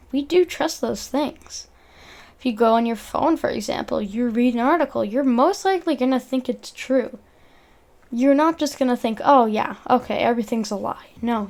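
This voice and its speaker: A woman's voice: on the high side, darkish tone. A young woman narrating a video essay in an informal, earnest way, reading from a script and stumbling over words now and then.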